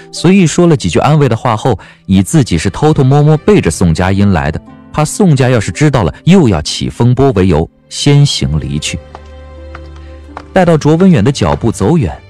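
A man narrating an audiobook drama in a measured, dramatic storytelling manner. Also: mid-range voice, dark tone.

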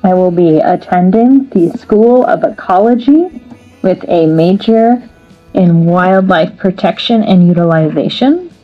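A young American woman speaks slowly and earnestly in a lowish voice. The webcam audio is slightly dull, with soft music behind. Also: lively intonation. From United States. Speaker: student. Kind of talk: self-introduction.

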